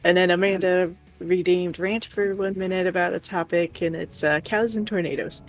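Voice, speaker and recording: A woman co-hosting a casual livestream and reading out viewer messages in a husky, creaky voice. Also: fairly low pitch, steady tone, normal speed. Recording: music playing behind the voice. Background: music.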